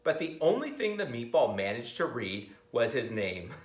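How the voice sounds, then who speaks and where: A middle-aged man reading a picture book aloud expressively, pausing to comment and ask his listeners questions, recorded in a school library. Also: medium pitch, big pitch swings. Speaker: school reader of picture books to children. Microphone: camera a few metres away. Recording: roomy library, camera at a distance.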